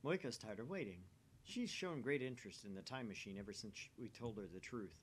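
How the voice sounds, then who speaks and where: A man's voice: animated, fairly quick. A man hosting a game channel, reading visual novel narration aloud and chatting casually. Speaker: video game channel host. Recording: faint rumbly background noise.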